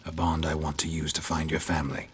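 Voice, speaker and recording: An actor playing a stoic, cynical monster hunter in a video game, speaking in a curt, cold deadpan with a gravelly, husky voice. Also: male voice, very deep voice, fast talker, a little hiss or hum. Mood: grim, matter-of-fact. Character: stoic, cynical monster-hunting witcher, hardened man. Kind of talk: understated video game acting.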